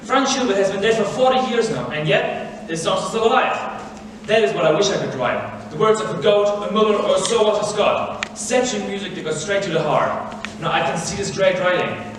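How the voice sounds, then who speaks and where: Earnest scholar played by a teenage boy, higher-voiced, didactic and deliberate, stage drama heard from afar in a reverberant theater. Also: lively intonation, fairly bright tone. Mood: earnest, instructive. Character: earnest, candid scholarly man, literary mentor. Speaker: student stage actor. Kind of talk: high school stage drama. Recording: echoey theater, distant mic, noisy.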